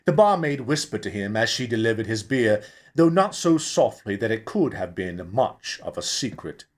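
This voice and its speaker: A man reading a novel aloud as narrator, in an expressive, theatrical storytelling style. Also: lowish voice, normal speed. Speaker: audiobook narrator, actor. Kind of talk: dramatic scripted novel narration.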